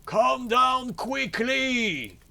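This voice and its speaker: A man's voice: lowish voice, close to an everyday voice. Man acting a menacing, bellowing storybook tyrant husband. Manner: commanding, drawn-out emphatic shouts. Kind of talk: dramatic fairy-tale storybook reading.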